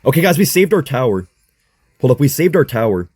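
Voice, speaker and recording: A young man with a hoarse voice giving chatty, excitable live commentary on a mobile game as he plays, talking to his viewers. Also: mid-range voice, a little fast, no room sound, clean studio sound. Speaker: gaming content creator.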